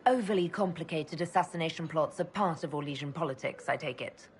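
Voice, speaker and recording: An actress playing a composed, decisive leader in a video game, speaking in a dry, direct and skeptical way. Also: female voice, low-pitched, fairly quick, slight background noise, natural voice. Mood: dry, questioning. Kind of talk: video game cutscene acting.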